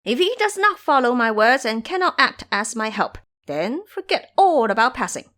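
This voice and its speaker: Scheming male monkey disciple, played by a woman, cocky and commanding, very lively pitch, audio drama. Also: normal speed. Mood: mock tearful, insistent.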